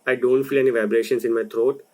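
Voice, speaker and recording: A young man from India who runs a video channel, talking through a voice lesson in a slow, deliberate explainer style. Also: medium pitch, fairly even intonation, dark tone. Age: young adult. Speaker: video creator. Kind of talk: explainer narration.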